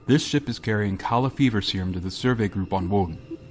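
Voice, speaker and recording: A man playing a grave, reluctant spaceship pilot in a radio drama, speaking in a solemn, halting way. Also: fairly low pitch, a little mellow, slight background noise, lightly acted. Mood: grave, official. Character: grave, reluctant male spaceship pilot bearing terrible news. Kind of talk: radio drama acting with narration.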